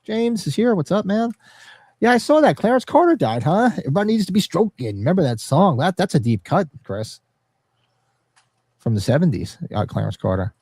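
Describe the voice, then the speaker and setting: A man's voice: high-pitched, big pitch swings, fairly quick, a little mellow, slightly rough, no echo. A congested man with a nasal, sniffly voice hosting a livestream podcast in casual, informal banter. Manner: informal, occasional swearing. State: congested and sniffly from allergies, slightly sore throat. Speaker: podcast host.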